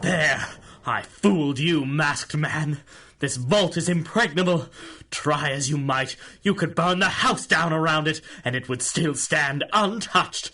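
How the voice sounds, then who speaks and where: Crazy old rich miser, high sharp voice, drags words out, radio play raving. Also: male voice, a little hiss or hum. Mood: gloating. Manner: raving, halting, possessive. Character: paranoid, gloating miser millionaire, old and raving. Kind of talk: 1930s radio drama acting.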